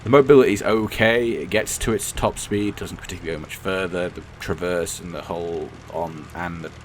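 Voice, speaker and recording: A man with a croaky, hoarse voice, giving rambling, blunt gameplay commentary and often correcting himself. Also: deep voice, normal speed, slight background noise. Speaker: gaming commentator. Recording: game sounds clearly behind the voice.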